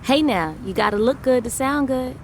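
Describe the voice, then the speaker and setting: Sassy, higher-voiced singing star. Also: female voice, animated, normal speed, a little hiss or hum. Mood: breezy. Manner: sassy, assertive. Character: proud, sharp-tongued young female singing star. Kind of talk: video game cutscene acting.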